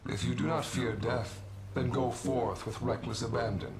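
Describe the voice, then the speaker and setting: An actor playing a stern ancient swordmaster who speaks gravely and formally. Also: male voice, medium pitch, normal speed, close to an everyday voice. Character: stern ancient male swordmaster judging warriors. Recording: echoey game audio with music.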